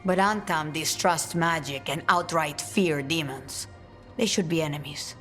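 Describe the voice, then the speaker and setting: A woman's voice: deep voice, unhurried, fairly bright tone, faint music behind. A woman voicing a fierce, testy female assassin in a video game, speaking in a curt, menacing way. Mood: bitter, suspicious.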